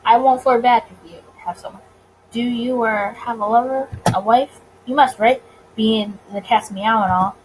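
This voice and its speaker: Girl doing an earnest cop guy in a visual novel, gets flustered, highish voice, bit noisy. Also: steady tone, moderate pace, lightly acted. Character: earnest, easily flustered male police detective.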